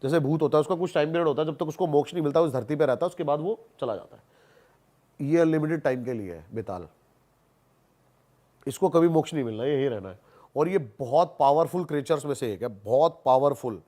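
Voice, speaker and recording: Male podcast guest, slightly high voice, colloquial storyteller who repeats phrases for emphasis and drops in English words. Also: expressive, fairly quick, warm tone. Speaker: podcast guest, haunted-place investigator. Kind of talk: animated casual storytelling on a podcast.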